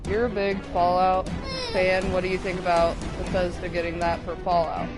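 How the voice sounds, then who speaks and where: Low-voiced woman hosting a gaming chat. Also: little pitch movement, moderate pace. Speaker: gaming show host. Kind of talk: casual chat, reading out viewer comments. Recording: music behind the voice.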